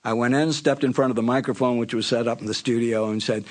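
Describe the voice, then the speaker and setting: An older man, a former radio announcer, looking back on his career in a relaxed, conversational way and sometimes correcting himself mid-sentence. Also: medium pitch, fast talker. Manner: relaxed, conversational storytelling with small self-corrections. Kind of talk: reminiscing anecdote.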